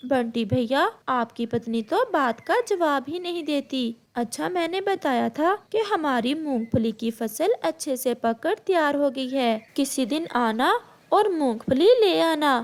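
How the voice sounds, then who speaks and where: A woman's voice: high voice, expressive, unhurried. A woman voicing a chatty, generous female bird visitor in a children's cartoon, speaking politely. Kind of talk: Hindi children's cartoon voice acting.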